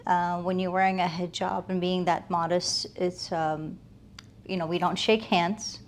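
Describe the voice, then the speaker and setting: A woman's voice: lowish voice, level tone, a little slow, a little hiss or hum. A woman speaks as an interview guest. She is earnest and reflective, talking conversationally and stacking short phrases to make her point. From United States, moved from Afghanistan as a toddler.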